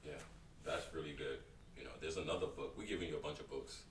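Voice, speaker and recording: Very deep-voiced male co-host. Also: fairly even intonation, fast talker. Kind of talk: casual chat. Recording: echoey room from far off, faint noise.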